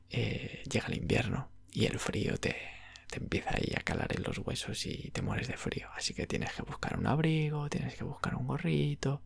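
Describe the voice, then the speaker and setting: Breathy, creaky Spanish man half-whispering. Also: medium pitch, no room sound. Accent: Spanish (Spain). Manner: unhurried, rambling. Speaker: ASMR roleplayer as video game shop clerk. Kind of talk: ASMR roleplay, soft casual chat about games.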